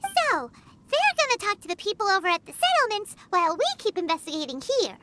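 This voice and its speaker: A woman voicing a tiny, excitable, childlike fairy sidekick in a video game, squeaky, curious and eager. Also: brisk, heavy background noise. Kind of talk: video game character acting.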